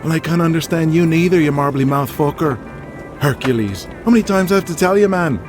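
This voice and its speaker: A man's voice: fairly high pitch, a little fast, close to an everyday voice. A man voicing a crude, boastful Irish wrestler in an audio drama, rowdy and foul-mouthed, with a music bed behind. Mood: mocking.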